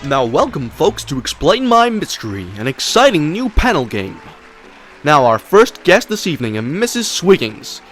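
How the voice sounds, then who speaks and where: A man's voice: on the high side, big pitch swings, normal speed, a bit bright, soft background music. A man playing a glib television panel game compere in a fan audio drama, with patronising showman patter. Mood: showy.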